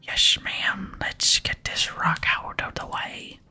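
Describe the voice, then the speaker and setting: A person voicing a deliberate, folksy explosives expert from a video game in a whisper. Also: bright, forceful tone. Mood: busy, urgent.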